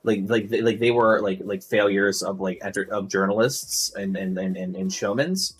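A young man streaming a game from home on a headset, chatting loudly and casually, swearing and restarting often. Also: on the low side, fairly even intonation, normal speed, slightly rough. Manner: loud, rambling, swears freely, many restarts. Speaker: streamer and podcast host. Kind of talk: casual chat while gaming. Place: home room at a gaming desk.

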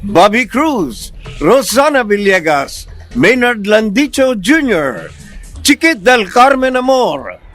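Man, Filipino accent, extremely high pitch, hugely animated intonation, theatrical radio drama announcer, music underneath. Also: slow pace. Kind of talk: scripted radio drama closing credits.

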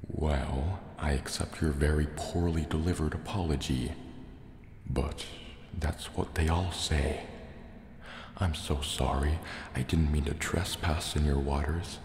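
A man voicing a large, menacing, distrustful sea serpent dragon in a slow, deliberate, soft-spoken ASMR roleplay. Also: very deep voice, fairly even intonation. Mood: cold menace. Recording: echoey cave reverb, faint noise. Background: water and cave ambience.

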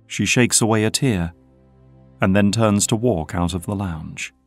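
A man's voice: on the low side, measured pace, faint music behind. A man reading scripted narration for an audio drama.

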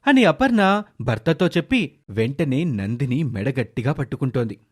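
A man's voice: medium pitch, sing-song, moderate pace. A man narrating a scripted moral story as its storyteller.

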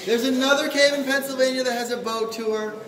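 High-pitched male tour guide, echoing from far off. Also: fairly even intonation, fairly quick. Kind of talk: guided tour commentary to a group. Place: inside a show cave. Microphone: distant handheld camera. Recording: echoey cave, distant handheld camera, noisy.

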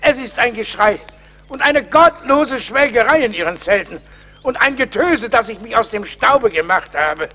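High-voiced, fussy psalm singer. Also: male voice, expressive, normal speed, pushed and bright, exaggerated voice. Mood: agitated, pious. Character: fussy, pious, timid wandering psalm singer. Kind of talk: comic radio play acting.

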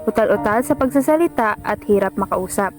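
A woman's voice: medium pitch, animated, a little fast. A woman with a Filipino accent narrating a scripted radio drama as a storyteller. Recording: music playing behind the voice. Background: instrumental music.

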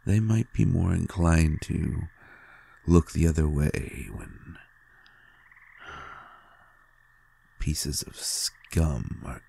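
An ancient male vampire, acted by a man, speaks tenderly to a lover in an intimate audio drama. The voice is extremely low, with gravelly fry and a dark, mellow tone. He is soft, halting and sincere, with a melodramatic streak. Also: slight background noise, heavily acted. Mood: fond, wry. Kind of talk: intimate roleplay audio acting.

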